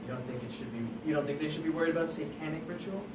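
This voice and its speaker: A performer at an amateur table read playing an agitated police officer who presses suspects with halting, repeated questions. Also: medium pitch, lightly acted. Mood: probing, stern. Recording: distant, echoey room, noisy.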